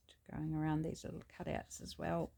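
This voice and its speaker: A woman's voice: deep voice, a little fast. A woman with a New Zealand accent and a scratchy voice, chatting casually while she works on a craft project. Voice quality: gravelly, scratchy. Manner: chatty, relaxed, thinking aloud. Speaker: craft channel host.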